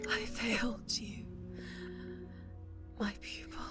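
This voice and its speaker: Halting, whispering dying witch mentor, acted by a woman. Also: music in the background, lightly acted. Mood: remorseful. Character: dying female witch mentor, remorseful and weak. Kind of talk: ASMR fantasy roleplay acting.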